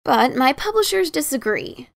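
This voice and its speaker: A woman playing an adventurous pegasus pony author in a fan dramatic reading, emphatic and defensive, halting when awkward. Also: high voice, sing-song, creaky, full character voice. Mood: awkward, pleading.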